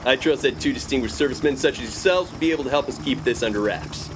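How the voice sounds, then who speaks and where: An actor playing a stern American Air Force captain, clipped and authoritative, in an audio drama. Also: male voice, medium pitch, fast talker, background noise, natural voice. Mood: smooth, official. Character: stern male Air Force captain, commanding and coolly intimidating.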